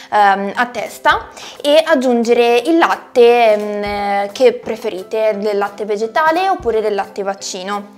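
A young woman presenting a recipe tutorial to camera from her home kitchen, chatty and explanatory, pausing often on fillers. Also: a little high. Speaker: cooking channel host.

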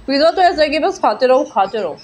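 A woman in her twenties reviewing food at a small cafe, chatting casually and drawing out her tasting remarks slowly. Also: high-pitched, lively intonation, a little mellow, a little hiss or hum. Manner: chatty, mixes Hindi and English, slow drawn-out tasting remarks. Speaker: food vlogger. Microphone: handheld phone camera at arm's length.

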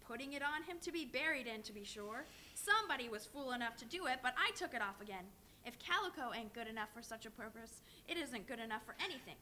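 A woman plays a scornful old thief of a charwoman, coarse and sneering. Her voice sits high and bright, with a faint hum of the hall behind. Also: expressive, fast. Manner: sneering, crude.

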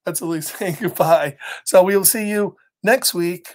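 Animated American man reading to children. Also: sits high, normal speed. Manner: animated, exaggerated delivery for young children. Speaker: story time host reading to children. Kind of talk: reading a picture book aloud with chatty asides. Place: small home office, indoors.

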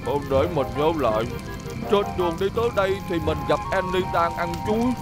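A man's voice: sits high, lively intonation, a little fast. A man voicing an anxious, forgetful talking vehicle in a cartoon dub, wondering aloud and exclaiming. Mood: unsure, recalling. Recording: loud music behind the voice. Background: loud music.

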